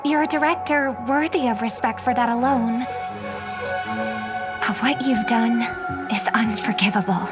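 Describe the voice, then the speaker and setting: Woman playing an earnest, high-voiced young heroine. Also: unhurried, long pauses, lightly acted. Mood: earnest reproach. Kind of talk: video game character dialogue. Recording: game dialogue under loud music.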